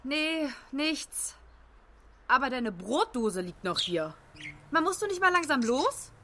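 A woman's voice: high voice, very animated, slow, bright, forceful tone, exaggerated voice. A woman playing a harried, swearing but affectionate mother in a colloquial, naturalistic radio play style.

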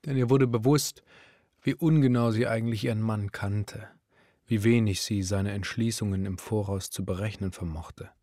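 Ein Schauspieler als ernster Erzähler eines psychologischen Hörspiels, der literarisch und gemessen spricht. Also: male voice, lowish voice, steady tone, dark tone, no echo, studio quality, natural voice. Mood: grave, measured. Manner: measured, literary, serious. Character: grave male narrator of a psychological radio drama. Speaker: narrator. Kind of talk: literary radio drama narration. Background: music.

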